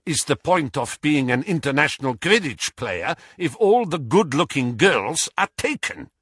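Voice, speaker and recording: A man voicing a gruff, moody young male sports star in an audiobook. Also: fairly high pitch, big pitch swings, unhurried, a bit bright, no room sound, clean studio sound, pitched above the performer's own voice, full character voice. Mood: sulky. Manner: gruff, grumbling. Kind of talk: audiobook character voice.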